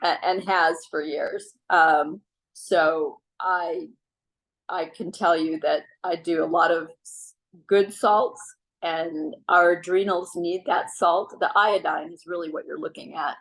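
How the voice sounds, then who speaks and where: Chatty middle-aged woman, lowish voice. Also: slow pace, rough recording. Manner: relaxed, chatty, frequent ums. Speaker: wellness speaker. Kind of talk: casual advice talk on a video call. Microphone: video call.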